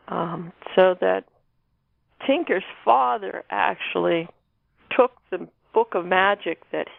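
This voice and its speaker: A woman with a heavily fried, low-pitched voice speaks as a guest author. She explains things casually and haltingly, thinking as she goes. The sound is thin and phone-like, with a dry, close feel. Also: gravelly, dry recording. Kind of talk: casual interview talk explaining fiction. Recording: muffled narrow phone-like line.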